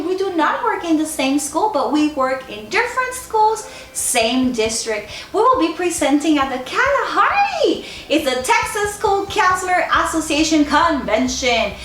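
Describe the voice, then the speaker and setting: A woman's voice: sits high, fairly bright tone. A woman from Puerto Rico with a Puerto Rican accent, speaking as a school counselor and giving encouraging, informal advice straight to viewers. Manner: addresses viewers directly, encouraging. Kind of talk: informal advice vlog to camera. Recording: echoey, roomy sound.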